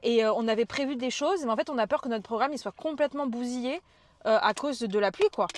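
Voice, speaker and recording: Casting: young woman streamer, slightly high-pitched, loud and chatty, informal slang. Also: fast talker, slight background noise. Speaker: streamer, travel vlogger. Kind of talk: casual live chat with viewers.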